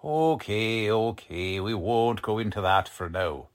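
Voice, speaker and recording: A man playing a gruff old-time radio police lieutenant who bosses everyone around. He takes his time, swinging up and down in pitch as he blusters through tough, ungrammatical cop talk. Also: animated, slow. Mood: pressing, sardonic. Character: gruff, bossy, uneducated police lieutenant running a murder interrogation. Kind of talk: 1940s radio drama acting.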